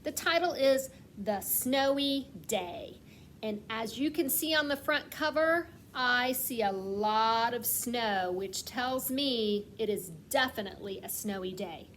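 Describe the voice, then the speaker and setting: A woman reading a picture book aloud like a kindergarten teacher, stressing words expressively and putting questions to the children. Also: fairly high pitch. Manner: asks questions and pauses as if children answer; expressive storytelling with slowed, emphasised words. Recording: clear background noise.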